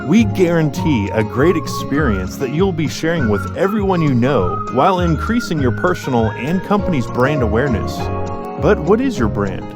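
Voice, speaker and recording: Lively male ad announcer over music. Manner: upbeat sales pitch. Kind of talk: scripted promotional voice-over. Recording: voice-over with loud music behind. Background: loud music.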